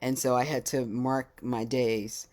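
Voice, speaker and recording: Very deep-voiced playful woman host. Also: little pitch movement, measured pace, a little mellow. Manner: playful, laughs often, teasing. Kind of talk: casual video chat interview.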